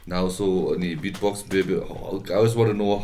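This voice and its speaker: A man's voice: deep voice, moderate pace, gravelly, warm tone, slight background noise. A young man co-hosting a casual podcast, joking around and switching between languages. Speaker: podcast co-host. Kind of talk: casual chat.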